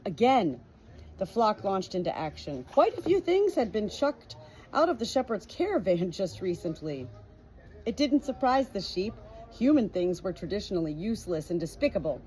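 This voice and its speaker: A woman reading a storybook aloud in an expressive amateur style, with casual asides, recorded on a phone at home. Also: mid-range voice, slight background noise, low-quality recording. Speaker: amateur book reader, channel host.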